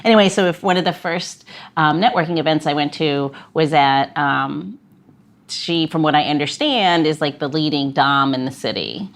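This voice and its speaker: A woman's voice: low-pitched, moderate pace. A woman co-hosting a podcast in casual chat, rambling with frequent restarts and fillers. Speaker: podcast co-host.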